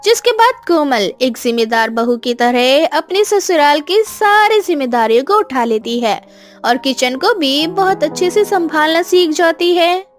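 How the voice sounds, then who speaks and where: High-pitched woman narrating a story. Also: expressive, unhurried, soft background music.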